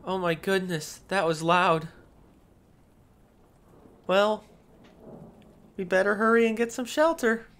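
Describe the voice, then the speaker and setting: Young man acting as a playful male fox spirit, unhurried, creaky voice, gentle, affectionate, teasing, boyfriend audio roleplay. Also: sits high, full character voice. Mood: caring. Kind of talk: boyfriend ASMR roleplay, addressing the listener.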